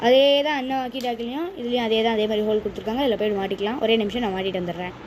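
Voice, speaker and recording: Girl doing a casual product demo, highish voice, chatty, bit of background noise. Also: racing, darkish tone. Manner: chatty, explains step by step. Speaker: vlogger presenting a product unboxing. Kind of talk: casual product demonstration to viewers.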